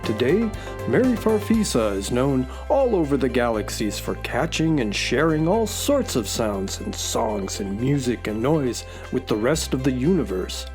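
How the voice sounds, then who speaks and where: Space horse companion for children's radio play: low, gentle, folksy, very varied intonation, music underneath. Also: female voice, sing-song, measured pace, heavily acted. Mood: storytelling. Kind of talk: children's radio drama storytelling. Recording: music behind the voice.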